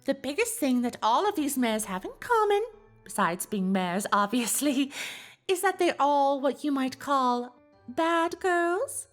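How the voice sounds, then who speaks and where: A woman's voice: high voice, very animated, soft background music. A woman voicing an elegant, teasing cartoon unicorn socialite in a fan fiction audio drama, with an affected, genteel, gossipy delivery. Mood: knowing, teasing. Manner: affected, genteel, theatrical delivery with drawn-out words.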